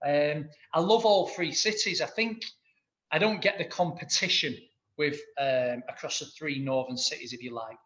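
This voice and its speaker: Mancunian accountant guest, higher-pitched male, keen and rambling, muffled sound. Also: moderate pace, a bit bright. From Manchester. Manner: enthusiastic, rambling with many restarts. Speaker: guest, accountant and business owner. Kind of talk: interview answers.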